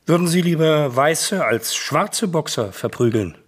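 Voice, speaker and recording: A man's voice: on the high side, expressive, measured pace, breathy edge. An actor playing a sceptical male interviewer in a German radio play, formal and probing.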